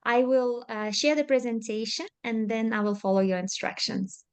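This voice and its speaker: A woman with a slightly high voice runs a webinar. She speaks in a brisk, practical way over a video call. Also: steady tone, clear, resonant tone. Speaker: webinar moderator. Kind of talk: moderating a webinar.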